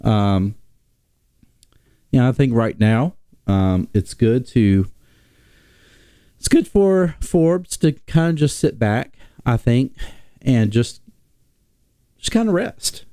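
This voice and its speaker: A man hosting a casual sports talk stream, sick and nasal, rambling with many pauses and restarts. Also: mid-range voice, lively intonation, mellow, no room sound, clean studio sound. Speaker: podcast host.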